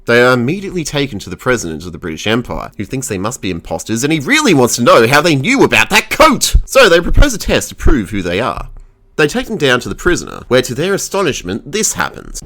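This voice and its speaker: Animated Australian man reviewing, swinging pitch. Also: fast. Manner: irreverent, crude humour. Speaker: video reviewer. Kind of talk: scripted review narration.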